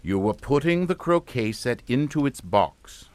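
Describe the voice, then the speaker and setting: A man's voice: medium pitch, lively intonation, measured pace, darkish tone, natural voice. An actor playing a stern, calculating English father in a radio suspense drama, with a clipped, commanding manner. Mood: probing, grave.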